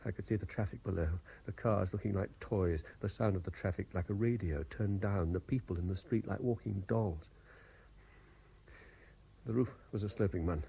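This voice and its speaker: An actor with a British accent playing a sceptical, worldly middle-aged lawyer in a 1970s radio drama, urbane and probing, sometimes stumbling into restarts. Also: male voice, low voice, normal speed, gravelly, dark tone. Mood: reflective, uneasy.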